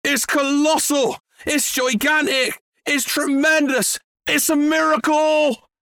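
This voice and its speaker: A reader acting an excitable, high-pitched blustering dad. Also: slow, gravelly, dry recording, clean studio sound, heavily acted. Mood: amazed. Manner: exclamatory, blustering. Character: excitable, blustering farmer father, adult man.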